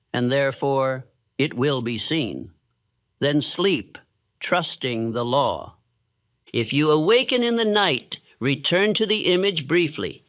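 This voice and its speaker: A man giving a calm, steady, measured narration in the solemn manner of a motivational sleep audiobook. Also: on the high side, dry recording, lo-fi sound.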